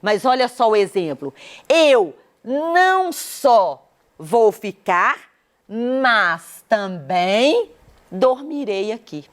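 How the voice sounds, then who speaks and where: A Brazilian woman teaching a Portuguese grammar lesson, explaining patiently and often checking that students follow. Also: a little high. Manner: slow, patient explaining with frequent checks for understanding. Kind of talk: recorded classroom lesson.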